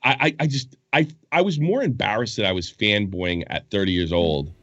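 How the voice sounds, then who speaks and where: A man in his forties with a Boston accent, a podcast guest telling rambling, profane stories full of halting restarts. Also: lowish voice, lively intonation, fairly bright tone, no echo, dull audio. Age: 40s. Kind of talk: casual chat.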